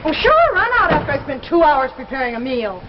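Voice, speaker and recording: An actress playing a bitter, nagging wife with an American accent and biting sarcasm, in 1950s melodrama style. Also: female voice, sits high, sing-song, a little fast. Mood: scornful, nagging. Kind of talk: 1950s melodramatic anthology acting. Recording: muffled, reverberant 1950s broadcast with noise.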